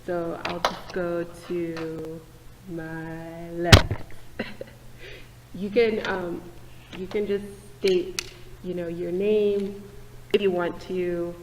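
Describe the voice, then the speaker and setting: Female host for a casual panel, low and even, filler-heavy, echoey church room. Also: very slow, heavy background noise. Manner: relaxed, hesitant, many fillers.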